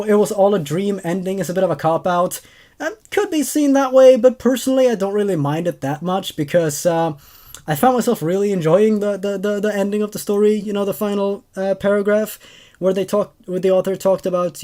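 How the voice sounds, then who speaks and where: A man's voice: sits high, warm tone. A man in his twenties hosting a horror story show, reading aloud and chatting casually about the tales. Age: 20s. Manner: hesitant, repeats words when commenting. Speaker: host and narrator of horror stories.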